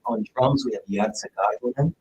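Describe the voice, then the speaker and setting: A man's voice: mid-range voice, fairly quick, noisy background. A man from Puerto Rico, a jazz guitarist chatting as a guest in an interview, easygoing and rambling. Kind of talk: casual interview chat.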